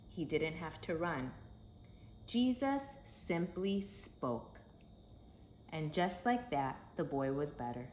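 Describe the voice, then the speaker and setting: A woman with a lowish voice reads a children's storybook aloud. Her delivery is slow, expressive and dramatic, with lively swings of pitch. It is recorded in an echoey room. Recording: echoey room with faint background noise.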